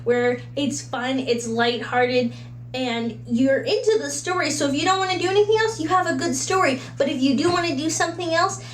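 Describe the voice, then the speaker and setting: A woman's voice: high-pitched, moderate pace. A woman giving casual, chatty book recommendations in a conversational way, slipping into little acted-out asides. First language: English. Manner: conversational, acts out little imagined quotes and asides. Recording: roomy echo with faint background hum.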